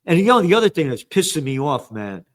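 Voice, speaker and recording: Older man, news commentary host, angry, sarcastic, profane, slightly high voice, mutters and shouts. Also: big pitch swings, fast talker. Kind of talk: ranting news commentary read from headlines.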